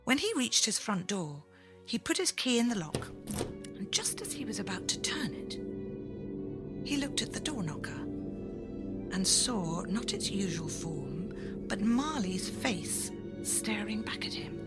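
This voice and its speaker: Slow, theatrical woman storyteller. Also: mid-range voice, very animated, loud music behind the voice. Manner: theatrical, deliberate, suspenseful phrasing. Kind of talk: dramatic children's story narration.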